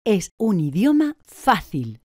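A woman giving slow, deliberate, clearly articulated answers in a language course. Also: mid-range voice, sing-song, a little mellow, dry recording, clean studio sound. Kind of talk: scripted drill answers.

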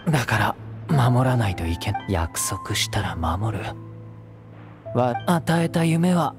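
A man's voice: medium pitch, big pitch swings, takes it slowly, gravelly, exaggerated voice. A man playing a confident young warrior and protective older brother in a Japanese video game, with breezy bravado. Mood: earnest resolve. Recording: music behind the voice.

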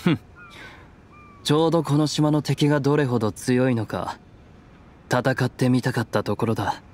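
A man's voice: mid-range voice, fairly even intonation, normal speed, darkish tone, natural voice. A man voicing a cool, proud young warrior in a Japanese anime game, haughty and clipped. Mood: proud, defiant.

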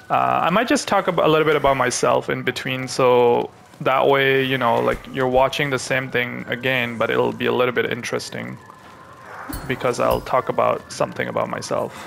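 A young man from Pakistan, hosting a gaming channel, who chats to viewers and thinks aloud as he plays, with excitable reactions. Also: mid-range voice, vocal fry, slight background noise. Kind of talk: live gameplay commentary.

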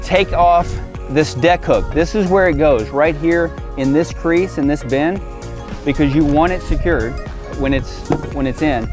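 A man in his forties talks through a hands-on product demonstration. His voice sits a little higher than average, and he gives plain instructions in short, casual phrases. Music plays clearly behind him. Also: measured pace.

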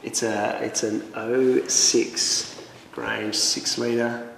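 Man, 30s, gravelly, casual promo chat, recorded from a distance in a roomy cellar. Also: medium pitch, flat delivery, very slow. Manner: offhand, chatty, fumbles and restarts. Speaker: wine promoter. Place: indoor wine cellar with barrels. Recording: echoey roomy hall, faint noise.

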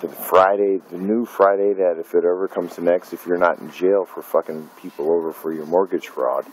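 Middle-aged man, very low voice, bitter profane rant to his phone camera, faint hiss. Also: fairly even intonation, a little fast, low-quality recording. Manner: bitter, profane, repeating himself with many fillers. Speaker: independent filmmaker. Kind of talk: angry personal vlog rant. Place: dim room at night, indoors. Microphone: phone camera held close.

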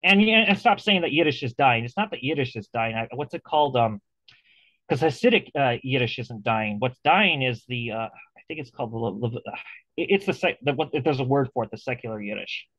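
A man's voice: mid-range voice, animated, a little fast, fairly bright tone, dry recording, lo-fi sound. A man hosting an interview over a video call, talking casually and animatedly, with many restarts and fillers. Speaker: interview host.

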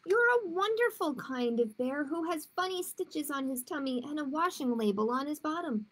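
Woman doing a kind little girl who reassures her teddy, high and gentle. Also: lively intonation, normal speed. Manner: tender, reassuring. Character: warm, loving little girl.

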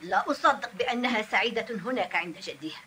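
A woman dubbing a doubtful, questioning village woman in a cartoon, with a husky voice. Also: medium pitch, expressive, fast talker, pushed and bright, a little hiss or hum. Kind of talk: Arabic cartoon dub.

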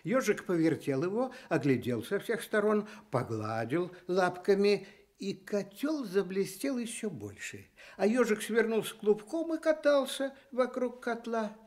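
A man telling a children's fairy tale for Soviet radio in an expressive storytelling style. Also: high voice, a little slow.

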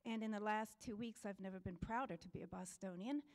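A woman's voice: medium pitch, fast. Brief: middle-aged woman from Pittsburgh, professor, friendly panel intro on a handheld mic. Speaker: college associate dean and humanities professor. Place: auditorium stage. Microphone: handheld microphone.

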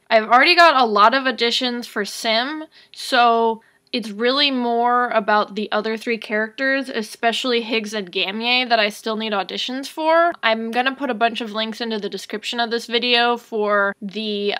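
A woman's voice: fairly high pitch, sharp tone. A woman giving a casual, conversational update to her followers, speaking informally as a creator.

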